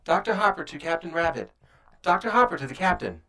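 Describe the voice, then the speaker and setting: A man's voice: fairly high pitch, animated, fairly quick, background noise, natural voice. A man playing a wry, kindly rabbit doctor aboard a starship in a sci-fi audio drama, muttering expressively. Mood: reporting.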